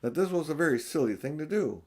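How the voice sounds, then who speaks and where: A man's voice: on the high side, lively intonation, fast talker, a little mellow. Older man reading a storybook aloud. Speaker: audiobook reader. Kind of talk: expressive storybook narration.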